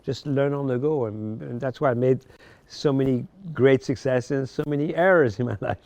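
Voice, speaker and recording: A man talks through his story as a guest on a podcast. He speaks at an everyday pitch, stopping and restarting, often repeating words as he looks for the next one. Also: darkish tone. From Morocco, raised in France. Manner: halting, repeats words as he searches for them. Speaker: podcast guest, fashion designer. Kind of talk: casual interview storytelling.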